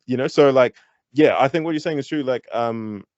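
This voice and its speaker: A man with a New Zealand accent, a hip-hop artist guesting on an interview, talking candidly with quick restarts and lively pitch jumps. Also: dry recording, lo-fi sound. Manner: animated, thinks aloud with many restarts. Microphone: online call.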